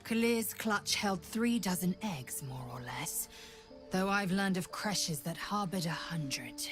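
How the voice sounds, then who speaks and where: A proud, disdainful alien warrior woman for a fantasy video game. Her voice sits low and she takes her time, with formal, severe phrasing that carries haughty authority. Faint music plays underneath. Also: animated, takes it slowly. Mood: haughty explaining.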